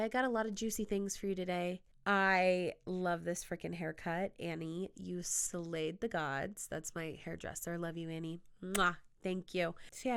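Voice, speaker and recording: A woman's voice: fairly low pitch, smooth. A young woman hosting her podcast, talking casually in a playful, bubbly way, a little nasal and sniffly from a cold. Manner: chatty, playful and bubbly, with quick asides. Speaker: podcast host. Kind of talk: casual solo podcast chat.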